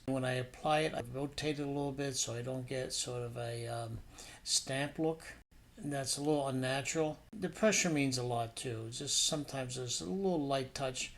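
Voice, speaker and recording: A man's voice: medium pitch, fairly bright tone. A man narrating a painting demonstration as an instructor, in an informal, explanatory and somewhat hesitant way.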